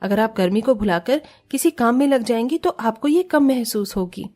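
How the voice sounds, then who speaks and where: A woman's voice: a little high, lively intonation, normal speed, a little mellow. A woman voicing a meek, hardworking and patient eldest daughter-in-law in a cartoon family drama, deferential in manner. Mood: resigned, patient.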